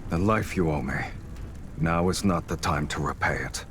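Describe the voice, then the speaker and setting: A man's voice: a bass voice, normal speed, background noise. An actor playing a cold, commanding man in a romantic fantasy game, speaking in terse, imperious lines with dramatic delivery. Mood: grave, urgent. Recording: loud music over the voice. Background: loud music.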